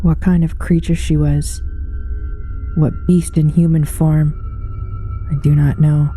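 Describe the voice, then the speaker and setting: A woman narrates a horror story in a very dark, mellow voice. Her delivery is solemn and measured, with pitch held fairly flat. Clear music plays behind her reading. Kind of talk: scripted horror story narration, read aloud.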